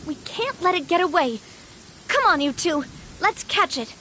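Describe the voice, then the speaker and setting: A woman voicing a cheerful, warm young woman who leads a close group in a video game, friendly and reflective, laughing easily. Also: extremely high pitch, sing-song, moderate pace, heavy background noise. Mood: lively. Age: young adult. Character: cheerful young noblewoman, gang leader, warm. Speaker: leader of a group.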